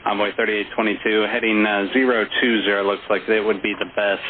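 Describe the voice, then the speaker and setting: Low-voiced male air traffic controller on radio. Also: flat delivery, fairly quick. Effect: radio transmission. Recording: muffled band-limited radio recording with chatter behind.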